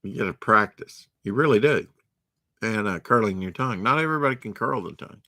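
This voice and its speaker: Guy reading a kids book out loud, keeps going off on sarcastic tangents, lowish punchy voice, dry sound. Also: expressive, brisk, no room sound. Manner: rambling, sarcastic asides. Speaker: storytime host. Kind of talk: reading a storybook aloud with rambling asides.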